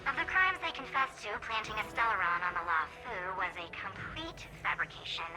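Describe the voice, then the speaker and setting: A woman's voice: mid-range voice, big pitch swings, natural voice, low-quality recording. A woman acting a poised, sly strategist general. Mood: poised, formal. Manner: measured, theatrical, teasing. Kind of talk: scripted video game acting. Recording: game music under the dialogue.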